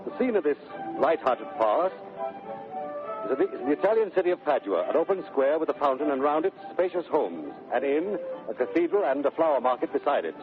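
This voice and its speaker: Animated male radio narrator, tinny old recording. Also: a little high, a little fast. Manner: dramatic, descriptive. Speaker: play narrator. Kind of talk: theatrical 1930s radio narration. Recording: tinny 1930s radio broadcast. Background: music.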